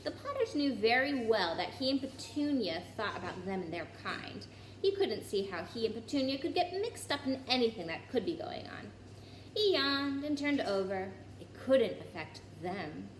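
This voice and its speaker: Woman reading a storybook aloud, echoey room. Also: medium pitch. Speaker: story reader. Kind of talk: children's storybook read-aloud. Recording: roomy echo, clear background noise.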